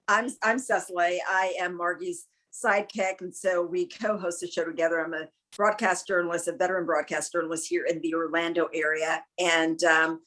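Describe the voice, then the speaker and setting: A woman, a broadcast journalist and co-host, chatting in a lively way on a video call. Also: fairly low pitch, moderate pace, few pauses, low-quality recording. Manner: lively, laughs readily, chatty. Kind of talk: casual video call chat.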